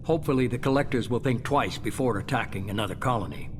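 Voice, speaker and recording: A man's voice: mid-range voice, background noise, natural voice. Man acting a cool, calculating shadowy mastermind. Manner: composed, deliberate, unapologetic. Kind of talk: video game cutscene acting.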